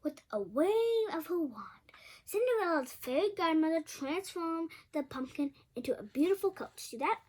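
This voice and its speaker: High-pitched, lively female storybook reader. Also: normal speed. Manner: expressive, stumbles over words. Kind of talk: animated read-aloud of a fairy tale with chatty asides.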